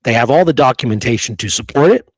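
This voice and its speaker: A man's voice: mid-range voice, animated, fast talker, husky, dry recording. A man hosting a history show, talking casually as he discusses research on a live call.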